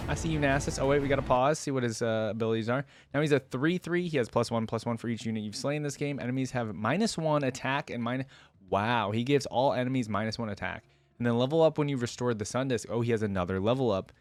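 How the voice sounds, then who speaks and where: A man's voice: mid-range voice, quick pace, dry recording, soft background music. A man hosting a gaming reaction video, giving informal, musing commentary as he thinks aloud.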